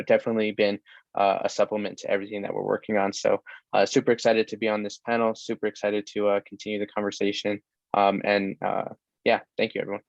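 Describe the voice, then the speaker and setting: A young man in his twenties speaks in a low, flat voice over dull, dry webcam audio. He fills his sentences with "you know" as he talks eagerly. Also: vocal fry, dry recording. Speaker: recent graduate, startup founder. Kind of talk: panel self-introduction.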